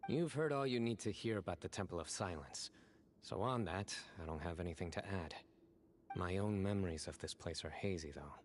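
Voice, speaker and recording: An actor playing a stern, composed young desert guardian in a video game, speaking in a measured, deliberate, solemn way with reflective resolve. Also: male voice, sits low, a little hiss or hum, close to an everyday voice. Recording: background music under dialogue.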